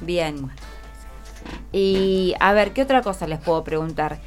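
A woman's voice: fairly low pitch, a little slow. Argentine woman hosting radio over background music. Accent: Argentine Rioplatense. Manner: encouraging, checks in with short tag questions. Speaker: radio host interviewing schoolchildren. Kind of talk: casual radio interview. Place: radio studio. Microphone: close studio microphone.

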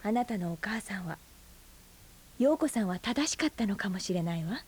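An actress in her forties playing a brooding, bitter aunt who is a schoolteacher, speaking softly and often in a half-whisper in a radio drama. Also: mid-range voice, animated, moderate pace, breathy edge. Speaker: high school teacher.

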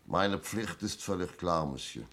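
A methodical police inspector with a slightly low voice. He is formal and politely firm, in the manner of 1960s radio drama. Faint noise runs under the recording. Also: lively intonation, unhurried, a bit bright, full character voice. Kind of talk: 1960s German radio play acting.

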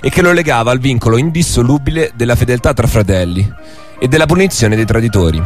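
A man hosting a radio programme, narrating a scripted historical documentary. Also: mid-range voice. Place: radio studio. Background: music.

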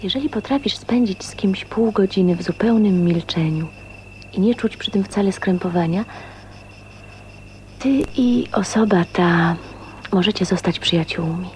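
A woman's voice: medium pitch, moderate pace, darkish tone, a little hiss or hum, close to an everyday voice. An actress playing a shy, sheltered young woman in a radio drama, earnest and exclamatory. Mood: reflective. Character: shy, sheltered 29-year-old woman newly tasting freedom.

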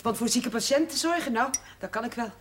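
An actress as a motherly former housekeeper, plainspoken and drily teasing. Her voice sits a little high, with faint hiss behind it. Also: fairly quick, lightly acted. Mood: dry. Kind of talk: 1970s TV drama acting.

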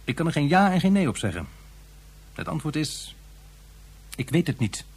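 Grave, slightly husky advisor. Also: male voice, on the high side, very animated, exaggerated voice. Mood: grave, earnest. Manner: formal, deliberate, earnest. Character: grave, concerned professional advisor. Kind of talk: science fiction radio drama acting.

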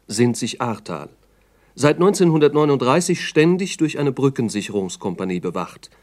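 A man with a medium-pitched voice narrates in the manner of a 1960s German radio documentary drama. His delivery is formal and factual, listing details with emphasis. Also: darkish tone.

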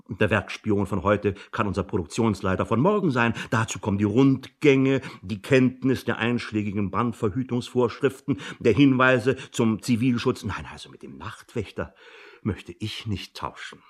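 Actor as husky, long-winded personnel manager. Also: male voice, on the low side, normal speed, lightly acted. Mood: explaining, officious. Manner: officious, long-winded, with hesitant asides. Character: verbose, self-important male personnel manager. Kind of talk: German satirical radio drama acting.

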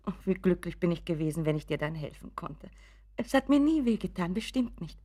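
A woman's voice: on the low side, lively intonation, a little fast, warm tone. An actress playing an anxious, doting mother in a German radio drama, fussing and apologetic, often dropping to a whisper. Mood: anxious, placating. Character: anxious, doting, pleading mother of grown son.

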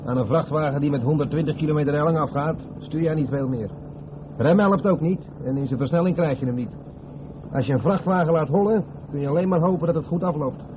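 A man's voice: on the high side, quick pace, natural voice. An actor playing a seasoned truck driver, wry and firm as he cautions his mate in a radio play. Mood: wry, cautionary. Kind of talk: old radio play dialogue. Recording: tinny radio recording with loud vehicle noise.

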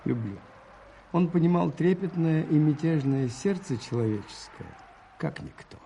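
An actor playing a gentle, modest parish priest in a 1980s Soviet radio drama, soft and husky, with a playful, unhurried manner. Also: male voice, on the high side, expressive, slight background noise. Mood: reflective.

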